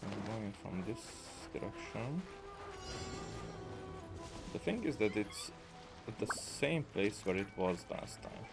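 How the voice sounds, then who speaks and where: A man giving casual gameplay commentary in a hesitant, halting way, as if thinking aloud. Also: fairly low pitch, lively intonation. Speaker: gaming video creator. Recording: game music behind the voice. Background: video game music.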